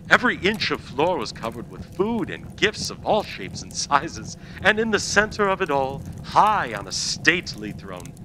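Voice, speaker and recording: A man's voice: medium pitch, background noise. A man narrating a scripted radio play as storyteller and announcer.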